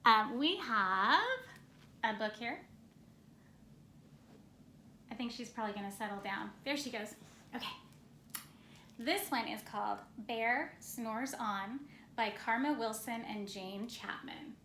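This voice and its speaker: A woman with a fairly high voice reads a picture book aloud at a very slow pace. Her storytelling is gentle and playful, with drawn-out phrases and casual asides, in an echoey room. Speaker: storybook reader. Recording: roomy echo, some background noise.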